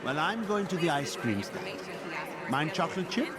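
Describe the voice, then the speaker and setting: A man playing a gentle, teasing, devoted middle-aged father in a video game, with a gravelly voice. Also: fairly high pitch, sing-song, normal speed, a loud crowd.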